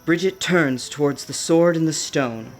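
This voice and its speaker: Woman narrator, scripted radio drama, slow measured delivery, prominent background music. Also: low-pitched.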